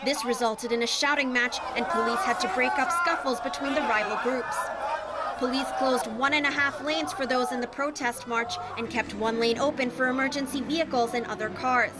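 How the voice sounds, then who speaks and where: Woman, news reporter, high pitch, scripted reporting, noisy street with traffic behind. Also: normal speed, over a noisy crowd. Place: outdoors on a busy street at a protest. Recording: clear street noise behind the voice.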